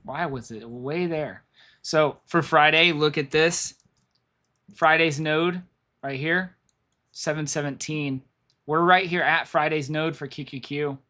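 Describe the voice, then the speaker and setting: Hoarse man rambling through market levels. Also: on the high side, slow, sharp tone. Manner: rambling, repeats himself, thinks aloud. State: losing his voice. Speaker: stock market streamer. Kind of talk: live trading commentary.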